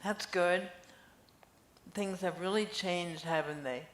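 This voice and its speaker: A woman's voice: lowish voice, takes it slowly. An older woman reading the part of a chatty, curious friend in an amateur play. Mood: reflective. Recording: echoey room with faint noise.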